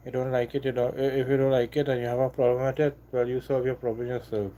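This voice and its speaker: A man from Trinidad with a Trinidadian accent, hosting a casual live stream and chatting with viewers in a halting, repetitive way. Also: mid-range voice, flat delivery, mellow. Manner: halting, repeats himself, apologetic then defiant. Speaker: livestream host.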